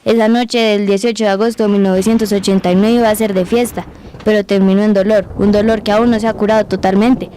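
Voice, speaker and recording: A woman's voice: mid-range voice, level tone, quick pace, mellow, slight background noise, lightly acted, rough recording. A woman playing a folksy Colombian grandmother who tells stories colloquially in an amateur radio drama. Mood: solemn. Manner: colloquial, storytelling. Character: folksy storytelling Colombian grandmother, rueful, fond of her grandchildren.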